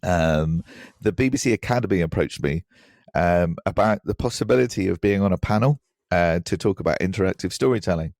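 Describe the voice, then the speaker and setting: A man's voice: sits low, fairly quick, a little mellow, no room sound, studio quality. A man speaking as an interview guest, telling personal anecdotes in a thoughtful, hesitant, self-deprecating way. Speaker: podcast guest, writer.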